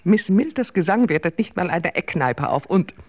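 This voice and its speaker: A woman's voice: lowish voice, animated, moderate pace. A woman playing a chatty, self-mocking ageing stage actress in a radio drama, wry and theatrical in manner. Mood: breezy, self-mocking. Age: older.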